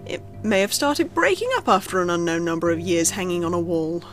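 A woman reading a scripted first-person horror story in a measured, suspenseful storytelling manner. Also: on the low side, very animated. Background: background music under the narration.

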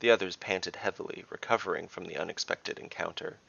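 Deep-voiced man narrating fantasy, slightly creaky. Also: brisk, fairly bright tone, muffled recording. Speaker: narrator and game master. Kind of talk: scripted story narration and tabletop game refereeing.

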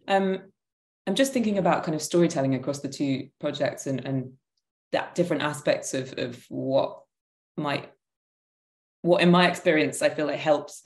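A woman's voice: sits low, darkish tone. A woman in her thirties thinking aloud tentatively as a panelist, on a video call in an echoey room. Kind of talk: reflective discussion. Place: room at home, indoors. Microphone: video call, device at desk distance.

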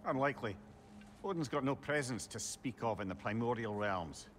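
An actor playing a wry, knowing old advisor in a video game, with a Scottish accent and a counselling manner. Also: male voice, mid-range voice, animated, normal speed, a bit bright, faint music behind, natural voice. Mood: wry, thoughtful. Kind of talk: dramatic video game acting.

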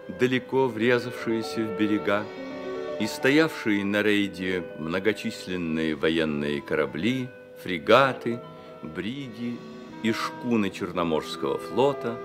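Expressive deep-voiced male radio narrator. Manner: measured, expressive storytelling with deliberate pauses. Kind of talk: scripted literary narration, Soviet radio drama. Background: music.

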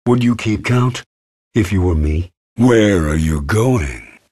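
An actor playing a corrupted male ship AI with a raspy, processed voice, torn between frightened pleading and a menacing hive voice in a video game. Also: low voice, animated, slow, darkish tone, exaggerated voice. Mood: bitter.

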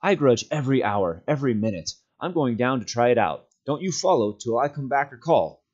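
A man's voice: medium pitch, animated, a little fast, darkish tone, pitched above the performer's own voice, natural voice. A determined young hobbit adventurer, played by a man reading a storybook aloud. He speaks earnestly and with emphasis, like someone set on his course. The recording is slightly dull.

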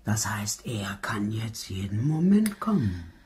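An actress playing a sinister, domineering, scornful nurse in a radio drama, with an ominous, commanding delivery. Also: female voice, very deep voice, sing-song, slow pace, noisy background, full character voice. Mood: hushed, ominous. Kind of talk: German radio drama acting.

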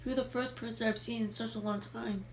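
A woman's voice: fairly high pitch, fairly even intonation, moderate pace, lightly acted. A woman in an amateur fandub playing a frightened, sorrowful young princess trapped in a tower, plaintive and dramatic, on a noisy home recording. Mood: wistful.

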